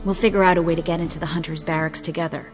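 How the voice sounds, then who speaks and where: An actress playing a world-weary young sorceress in a video game, speaking in a dry, measured, articulate way. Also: female voice, fairly low pitch, fairly even intonation, in a large hall, music in the background, natural voice. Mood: earnest.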